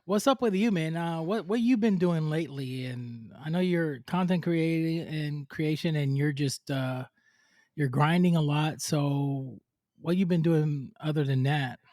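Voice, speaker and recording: A man's voice: fairly high pitch, unhurried, a little mellow, no room sound, clean studio sound. A man hosting a casual podcast interview, hyped and playfully exaggerating as he repeats himself. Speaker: podcast host.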